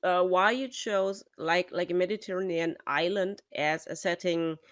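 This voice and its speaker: A European woman moderating a talk, asking questions conversationally and haltingly as she searches for words. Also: on the low side, no echo, clean studio sound. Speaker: interviewer, moderator.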